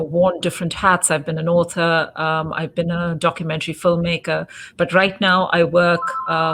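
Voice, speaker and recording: A woman from India speaks as an interview guest, her voice sitting fairly low. She answers in a measured, thoughtful manner, easing along with soft fillers. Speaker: interview guest, conservation journalist.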